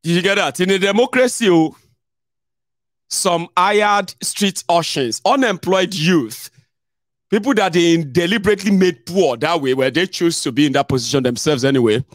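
A Nigerian man in his thirties giving sarcastic, animated political commentary into a desk microphone, with some Pidgin mixed in. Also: high voice, normal speed, no room sound, clean studio sound. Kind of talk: live stream commentary. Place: indoor home studio.

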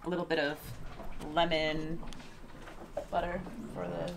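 A woman's voice: lowish voice, level tone, unhurried, gravelly. A woman in her forties, a sailing vlogger, chatting playfully to a handheld camera in a small boat cabin. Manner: playful, jokey, upbeat. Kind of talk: casual chat to camera. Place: small sailboat cabin and galley, indoors. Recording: loud background noise.

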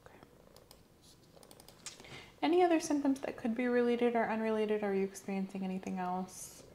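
A woman in her thirties, soft-spoken and methodical, playing a medical examiner in an ASMR roleplay. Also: on the high side, moderate pace. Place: small indoor room set up as an exam room. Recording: clear steady background noise and room ambience.

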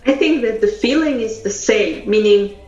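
A woman's voice: medium pitch, expressive, moderate pace, a little mellow, reverberant, highs cut off. A woman from Russia, speaking as a podcast guest and communication coach, earnest and thoughtful with frequent fillers and restarts. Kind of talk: podcast interview.

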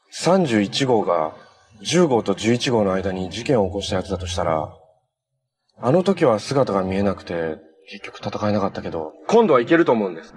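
An actor playing a cheerful, earnest, easygoing young hero in a TV drama, talking in a casual, boyish way. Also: male voice, mid-range voice, lightly acted. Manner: casual, friendly, boyish phrasing. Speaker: actor in a TV superhero series. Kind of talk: naturalistic TV drama acting.

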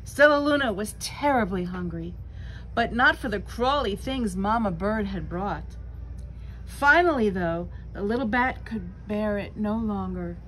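A woman in her sixties reading a picture book aloud to children in a slow, dramatic storytelling manner, with little asides. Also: medium pitch, lively intonation, a bit bright, low-quality recording. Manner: slow, dramatic, deliberate phrasing. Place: small bookstore, indoors. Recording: clear background room noise.

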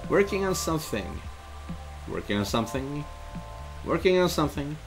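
A middle-aged man streams a game in a casual, expressive way. His pitch moves a great deal as he comments and reads text aloud. Music and rain sit behind him. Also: normal speed, rough recording. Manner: expressive, exclaiming. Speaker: streamer playing a visual novel. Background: game music and rain sounds.

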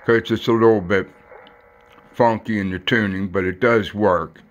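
A man talking casually in a plain, folksy, halting way as he shows something on a handheld camera at home. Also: lowish voice, steady tone, lo-fi sound. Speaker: hobbyist radio collector. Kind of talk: casual handheld video demonstration. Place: room in a house. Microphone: handheld phone or camera behind the lens.

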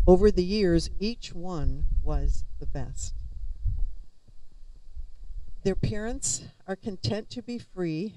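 Older lady reading a eulogy poem outside, mellow voice, picked up from a distance. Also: lowish voice. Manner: soft-spoken, reading from a sheet. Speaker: family member giving a eulogy. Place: outdoors at a waterside memorial gathering. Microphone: handheld mic. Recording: distant outdoor camera recording.

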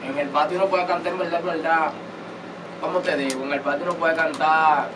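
A man's voice: on the high side, fairly quick. A man, a guest musician, speaking earnestly and repeating himself in an interview. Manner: earnest and repetitive. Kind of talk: casual interview talk. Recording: distant mic with steady noise.

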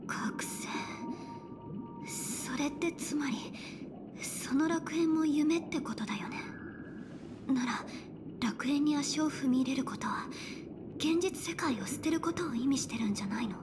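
High-voiced, gentle, resolute young woman. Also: lively intonation, measured pace, very noisy. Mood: wary, questioning. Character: gentle but resolute young woman standing her ground. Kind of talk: Japanese video game voice acting. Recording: music under the voice.

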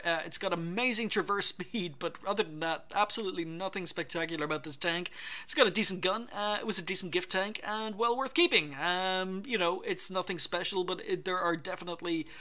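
A man's voice: high-pitched, bright, forceful tone. Irish man rambling through a game review. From Ireland. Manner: rambling, frequent ums and self-corrections. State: recovering from a sore throat. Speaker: gaming channel host. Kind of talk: casual garage review commentary over gameplay.

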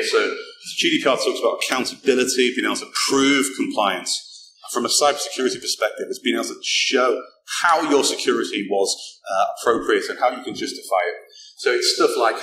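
A middle-aged man giving a conversational technical talk at a conference, often restarting and repeating words as he goes. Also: medium pitch. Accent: non-local British accent. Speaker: conference speaker. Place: small darkened event room, indoors. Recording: echoey room, faint background hum.

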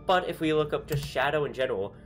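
A man's voice: a little high, expressive, fast, a bit bright, soft background music. A young man chatting casually from his bedroom, thinking aloud with lots of fillers. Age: young adult. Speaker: YouTuber analyzing game dialogue. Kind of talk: casual commentary. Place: small bedroom with string lights.

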